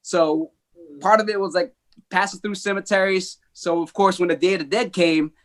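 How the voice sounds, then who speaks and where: A man raised in the Philippines tells stories on a podcast, animated and rambling, often restarting his sentences. His voice sits a little high for a man. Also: normal speed. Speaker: guest. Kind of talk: casual storytelling.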